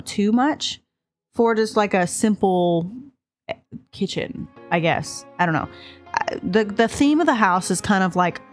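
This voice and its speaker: Chatty stuffed-up woman rambling. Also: mid-range voice. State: stuffed up from allergies. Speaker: game builder narrating a speed build. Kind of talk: casual voice-over commentary. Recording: voice-over with faint music behind.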